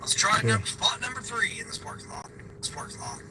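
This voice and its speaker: High-voiced chatty man livestreaming from his car. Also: big pitch swings, fast. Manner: chatty, rambling, laughs often. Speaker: delivery driver livestreaming. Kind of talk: casual livestream chat, reading viewer comments aloud. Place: parked car. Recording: car ambience hum behind voice.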